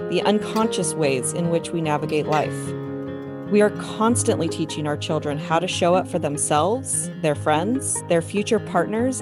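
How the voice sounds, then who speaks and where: Earnest woman narrating over guitar. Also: fairly low pitch. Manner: earnest and reflective, measured delivery. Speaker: podcast host. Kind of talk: scripted intro narration. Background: guitar music.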